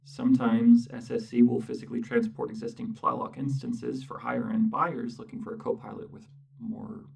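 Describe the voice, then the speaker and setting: A smug machine intelligence captain acted by a performer lords it over a subordinate in soft ASMR roleplay. The voice is creaky and breathy, sitting a little away from the mic, with clipped orders and deliberate, superior pauses. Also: mid-range voice, little pitch movement, far from the mic. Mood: dry, condescending. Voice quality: breathy, often dropping to a whisper. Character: condescending newborn AI mech co-pilot captain, bossy, smug.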